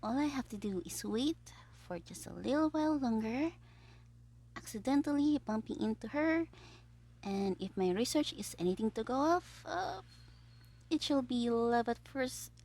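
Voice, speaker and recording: A woman's voice: fairly high pitch, lively intonation, moderate pace, darkish tone. A woman playing a smug, theatrical young supervillain who schemes playfully in an audio roleplay diary. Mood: smug, gloating. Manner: theatrical, playful, self-satisfied, drifting into conspiratorial whispers.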